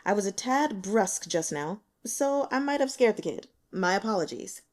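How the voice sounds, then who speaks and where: A woman's voice: mid-range voice, lively intonation, normal speed, lightly acted. A woman voicing a brusque, probing male investigator in an audiobook, matter-of-fact in manner. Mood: brusque, businesslike. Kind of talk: audiobook character acting.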